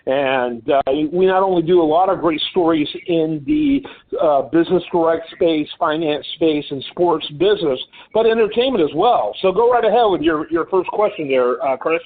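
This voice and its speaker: A male show host with a fairly high voice cuts in briskly to plug a website before passing the floor to his co-host. His voice comes through a tinny, phone-like line. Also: sing-song. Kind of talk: show host promo and handoff.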